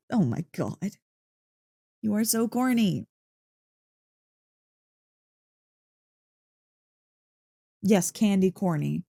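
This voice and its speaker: An excitable, affectionate dog-girl , played by a woman in an ASMR roleplay. Her voice is soft and mellow but swings widely in pitch as she teases and gets flustered. She takes her time, speaking close into a dry mic as if to her partner. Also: slow, gravelly, husky, no echo, full character voice. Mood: playful, mock-indignant. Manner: playful, expressive, mock-indignant teasing. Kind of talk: ASMR roleplay, intimate one-sided dialogue. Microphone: close, dry microphone.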